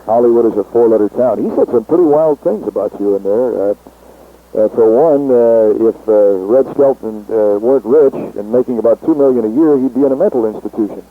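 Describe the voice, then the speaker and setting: A man interviewing a guest informally, pausing and feeling his way into questions, on a dull phone-call recording. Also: medium pitch. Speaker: radio interviewer.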